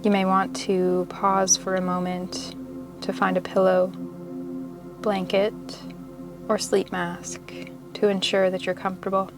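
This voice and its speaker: A woman leading a guided meditation in a soothing, measured, unhurried manner, pausing between short phrases of instruction. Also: medium pitch, monotone. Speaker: yoga nidra meditation guide. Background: meditation music.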